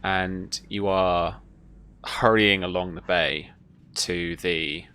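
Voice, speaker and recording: Low, bright-voiced woman running a game. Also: fairly even intonation, slow. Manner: casual, conversational. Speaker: game master. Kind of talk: improvised narration of a tabletop game.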